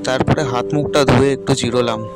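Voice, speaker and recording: A man's voice: mid-range voice, fairly even intonation, a little fast. A man narrating a first-person story as a storyteller. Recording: loud plucked-guitar music behind voice. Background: guitar music.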